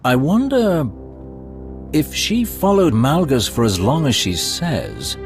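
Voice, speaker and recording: A man's voice: fairly high pitch, wildly swooping pitch, takes it slowly, over music, heavily acted. An actor playing a thoughtful, loyal male Imperial lord in a game cutscene. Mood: measured.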